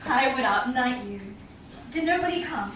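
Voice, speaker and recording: A teasing young sweetheart, acted by a woman in a Shakespeare stage reading. Her voice is fairly high and distant in a very echoey theatre. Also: animated, normal speed, heavy background noise. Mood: playful, teasing. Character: Jessica, teasing young lover. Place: small theatre stage, far audience camera.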